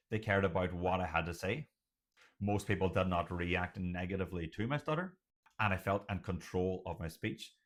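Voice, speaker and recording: A middle-aged man who has a stutter, speaking earnestly and emphatically to viewers in short, measured phrases, with a motivational tone. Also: deep voice. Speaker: doctor sharing personal experience of stuttering. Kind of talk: motivational personal talk to viewers.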